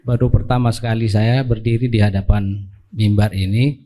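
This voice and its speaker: A man's voice: on the low side, steady tone, normal speed, mellow. A man with a Batak accent reading a Bible passage from the pulpit, modest and plain in his delivery. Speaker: lay scripture reader. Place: church pulpit. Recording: church hall reverb.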